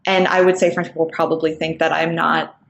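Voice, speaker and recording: A young woman with an American-sounding accent and a fairly low voice talks in a thoughtful, measured way, pausing on ums as she works through her points. The room is echoey, with a faint hiss of background noise behind her. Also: flat delivery, creaky, muffled recording. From Singapore. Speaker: nonprofit co-founder. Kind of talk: recorded talk for an online summit.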